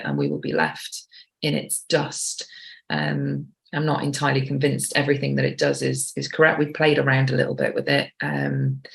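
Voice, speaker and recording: A woman with a fairly low voice and noticeable creak chats casually as a guest. She is informal, talking like a small business owner and correcting herself as she goes. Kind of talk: casual chat.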